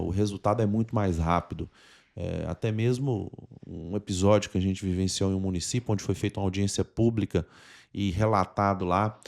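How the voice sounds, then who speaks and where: A man's voice: on the low side, a little mellow. A man with a Brazilian accent hosting a conversational podcast, explaining things in formal, legal-sounding vocabulary. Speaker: podcast host.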